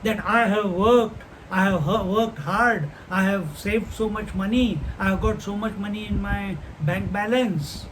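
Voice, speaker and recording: Older male spiritual teacher, high-pitched, unhurried questioning delivery. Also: fairly bright tone, slight background noise, low-quality recording. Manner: unhurried, posing rhetorical questions; chants Sanskrit verses slowly. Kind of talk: scripture class talk.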